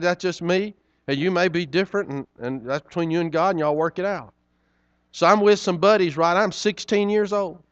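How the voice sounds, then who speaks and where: A man's voice: on the high side, no echo, highs cut off. A middle-aged man, preaching a sermon in a folksy, conversational way with frequent asides to the congregation. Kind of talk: sermon storytelling.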